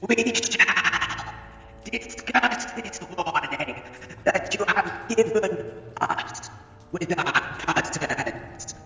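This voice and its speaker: A man voicing a haughty, suspicious non-human court judge in a fan audio drama, speaking in a pompous, halting, formal way. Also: high-pitched, animated, sharp tone, heavily acted. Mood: formal, grave. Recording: echoey hall, distant, faint music behind.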